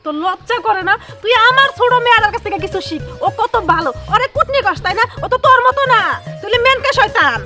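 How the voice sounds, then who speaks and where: A woman voicing a hot-tempered cartoon mother, shrill and berating, in colloquial Bangladeshi speech. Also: lively intonation, quick pace, hardly any pauses. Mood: scolding, furious. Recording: loud music bed behind voice.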